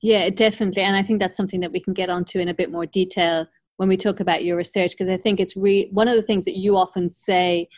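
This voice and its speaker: A woman who grew up in Ireland, hosting an academic conversation in a thoughtful, measured way with frequent hedges. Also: medium pitch, fairly even intonation, a little mellow, no echo, clean studio sound. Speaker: podcast host.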